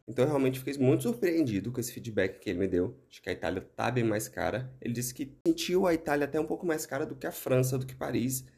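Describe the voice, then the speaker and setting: A Brazilian man with a hoarse voice, hosting a travel podcast in a chatty, upbeat solo talk with playful asides. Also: on the low side, darkish tone. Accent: Brazilian Portuguese. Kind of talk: casual solo podcast monologue.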